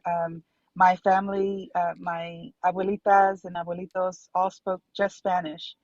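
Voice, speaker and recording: Earnest woman candidate calling in from her car, low-pitched, lo-fi dry line. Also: no room sound. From Dallas, Texas. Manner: earnest, many fillers. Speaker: city council candidate. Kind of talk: remote guest interview. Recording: dull, dry call audio.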